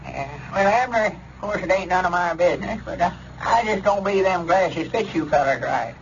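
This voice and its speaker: An actor playing a plainspoken old country grandpa with a rural Southern American accent, halting and stammering on first words, in 1930s radio comedy style. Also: male voice, high voice, expressive. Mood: doubtful. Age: older. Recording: dull, noisy 1930s radio recording.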